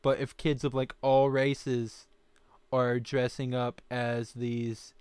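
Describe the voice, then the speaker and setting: A man's voice: medium pitch, flat delivery, takes it slowly, darkish tone, smooth, no echo. A young man co-hosting a casual podcast chat, often restarting his sentences. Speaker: podcast co-host.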